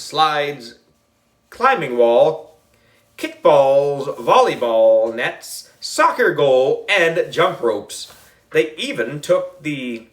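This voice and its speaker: A man narrating a children's story in an expressive, playful style. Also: on the high side. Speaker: audiobook reader.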